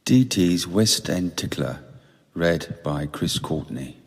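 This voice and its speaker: A man's voice: deep voice, expressive, takes it slowly, creaky. A man reading a scripted programme introduction as announcer. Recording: long cavernous reverb.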